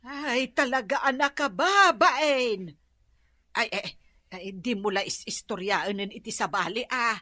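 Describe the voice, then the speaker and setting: A woman's voice: a little high, a little slow, sharp tone, dry recording, clean studio sound. An actress playing a gossipy, boastful neighborhood woman with an Ilocano accent, exclaiming and confiding in a radio comedy drama. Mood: gossiping.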